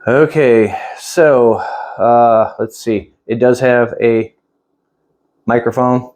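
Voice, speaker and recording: A man's voice: medium pitch, fairly even intonation, extremely slow, a little mellow. A man giving a casual, hands-on product demonstration indoors, thinking aloud in a plain-spoken, rambling way. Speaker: product reviewer. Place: indoors at home.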